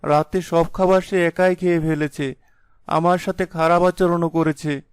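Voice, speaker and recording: A man voicing a young village husband, blustering but easily cowed, with an exclaiming, melodramatic delivery in an animated folk tale. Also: fairly high pitch, unhurried, clear, resonant tone, dry recording, close to an everyday voice. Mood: worried. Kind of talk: animated fairy-tale voice acting.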